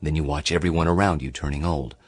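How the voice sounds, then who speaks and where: A very deep, world-weary immortal professor. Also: male voice, steady tone, fast talker, slightly rough, soft background music. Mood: earnest. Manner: reflective, lecturing storyteller. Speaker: actor in a radio drama. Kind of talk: radio drama acting.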